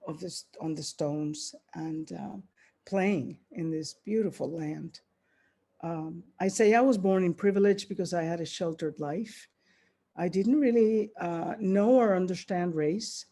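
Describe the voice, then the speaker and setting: A woman's voice: on the low side, warm tone. A woman from Puerto Rico giving a reflective keynote talk over a video call, with many fillers and restarts. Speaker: keynote speaker.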